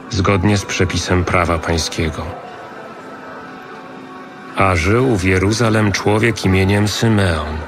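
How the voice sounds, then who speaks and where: A man narrates scripture with a solemn, measured delivery. His voice sits fairly low, he takes his time, and music plays clearly behind him.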